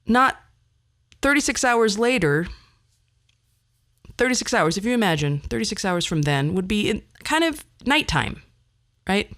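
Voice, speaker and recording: A woman's voice: mid-range voice, big pitch swings, unhurried, pauses often, fairly bright tone. A woman podcast host telling a personal story casually, chatty and rambling, with wry asides.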